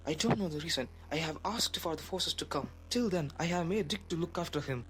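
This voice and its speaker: A man's voice: on the high side, animated, fairly quick, natural voice. A man playing a serious, urgent police officer in an amateur audio drama, speaking emphatically. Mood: grave, confiding. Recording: humming background noise.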